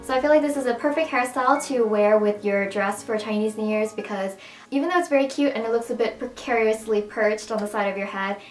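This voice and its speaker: A young woman with a raspy, strained voice chatting casually through a makeup tutorial at home. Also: on the high side, brisk, echoey room, poor audio. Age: young adult. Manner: chatty, upbeat, casual with fillers. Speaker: beauty vlogger. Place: small room at home, indoors.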